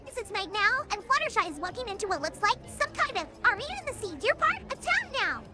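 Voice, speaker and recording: A woman voicing an excitable young female pony who records investigation notes like a self-important amateur detective in an audio story. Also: very high-pitched, fast, over loud music. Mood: eager, secretive.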